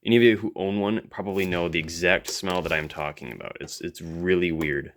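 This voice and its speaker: A man's voice: deep voice, brisk, a little hiss or hum. A man giving casual, off-the-cuff hands-on commentary as a hobbyist video host, musing and thinking aloud as he goes.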